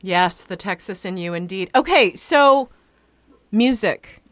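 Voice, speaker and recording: A woman's voice: mid-range voice, expressive, slow, a bit bright, dry recording. A woman hosting a podcast, asking a guest questions in a casual, conversational interview style.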